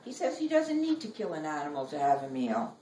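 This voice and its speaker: A middle-aged woman giving a live poetry reading in measured, deliberate phrases with dramatic pauses. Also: low-pitched, big pitch swings, warm tone. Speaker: poet reading own work. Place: library reading room. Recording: roomy, noisy recording, distant from mic.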